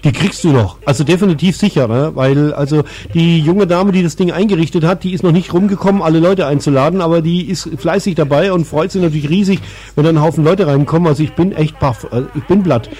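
A man's voice: fairly high pitch, fast, warm tone, husky. A man hosting a casual radio chat, teasing and exclamatory, often ending on tag questions. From Bavaria, Germany. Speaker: radio show host.

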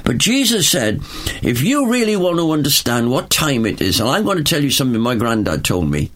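An older Welsh man in his seventies talks like a preacher, with strong emphasis. His pitch rises and falls widely, and he speaks from a studio. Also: big pitch swings, a little fast, a bit bright. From Wales. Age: 70s. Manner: emphatic, preacherly, jokes with a chuckle. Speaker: pastor, studio guest.

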